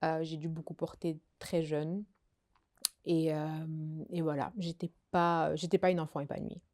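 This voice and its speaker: A woman's voice: sits low, flat delivery, unhurried, a little mellow. A young woman from Belgium, a guest telling her life story in casual conversation, hesitant and full of fillers and restarts. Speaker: guest sharing her testimony.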